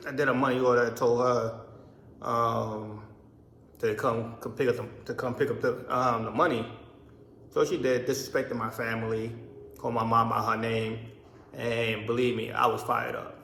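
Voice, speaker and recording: A man's voice: medium pitch, little pitch movement, moderate pace, a bit bright, a little hiss or hum. A man in his thirties from Barbados, raised in the US, telling a personal story in a rambling vlog with many restarts. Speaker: vlogger. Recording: echoey room.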